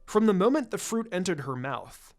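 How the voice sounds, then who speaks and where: A narrator reading a scripted story in an expressive, dramatic way, drawing out phrases for effect. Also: mid-range voice, moderate pace, soft background music. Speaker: fan fiction narrator.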